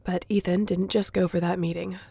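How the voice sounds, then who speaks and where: A woman's voice: on the low side, level tone, brisk, creaky, dark tone, husky. A woman playing a sassy, competitive young shifter in an audio roleplay, talking in a casual, teasing way. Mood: playful. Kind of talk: audio roleplay acting, addressing the listener.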